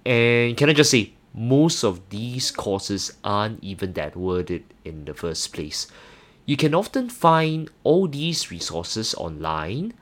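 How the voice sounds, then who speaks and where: A young man with a Singaporean accent speaks slowly, in a fairly low voice that rises and falls a lot. He talks earnestly and carefully, with a few hesitant fillers. He hosts his own podcast, talking alone to the listener. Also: fairly bright tone. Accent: Singaporean English. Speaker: podcast host. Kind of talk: solo podcast monologue.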